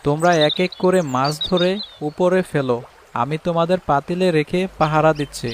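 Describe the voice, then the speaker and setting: A man's voice: fairly high pitch, normal speed, natural voice. A man voicing a practical, good-humoured village fish farmer and husband in a cartoon fairy tale, explaining things step by step. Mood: encouraging. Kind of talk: animated fairy tale voice acting. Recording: clearly noisy track with birdsong.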